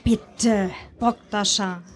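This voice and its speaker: A woman's voice: medium pitch, fairly even intonation, drawn-out words, a little hiss or hum. A woman playing a chatty, polite Soviet wife on holiday in a live radio play. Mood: sociable. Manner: polite, sociable.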